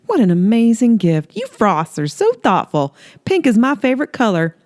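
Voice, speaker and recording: A woman's voice: fairly high pitch, wildly swooping pitch, no room sound, clean studio sound, pitched above the performer's own voice. A woman voicing a sassy, brash trailer-park woman. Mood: flirty. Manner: sassy, bold, quick to yell.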